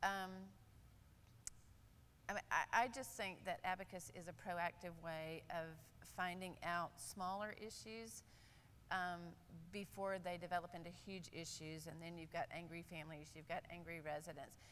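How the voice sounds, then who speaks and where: An older woman, a nurse speaking on a conference panel, candid and animated, with many fillers. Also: on the low side, moderate pace, in a large hall, slight background noise. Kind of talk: panel discussion. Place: large conference hall stage. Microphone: lapel.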